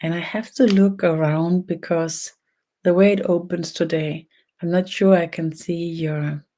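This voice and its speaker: A speaker from Denmark with a Danish accent and a gravelly creak leads a guided energy meditation online, speaking haltingly in short phrases with long pauses. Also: mid-range voice, fairly even intonation, warm tone. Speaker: spiritual energy healer leading an online session. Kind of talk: slow guided meditation and channeled talk.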